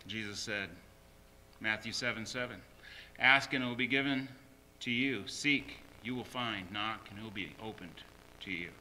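A man's voice: on the low side, normal speed, sharp tone, a little hiss or hum, rough recording. A man preaching a sermon as a pastor, emphatically repeating short phrases.

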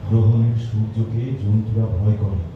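A man's voice: level tone, normal speed, natural voice. A man acting a learned, worried, philosophical professor in a theatrical Bengali stage audio drama. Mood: urgent warning. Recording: echoey hall, distant dull mic, rumble.

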